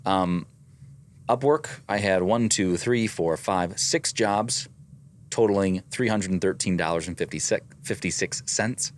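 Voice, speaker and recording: Low-voiced man with a slight Midwestern accent. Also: measured pace, a little hiss or hum. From American Midwest. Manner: methodical, reads out numbers. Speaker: voice actor and co-host. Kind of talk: casual business chat.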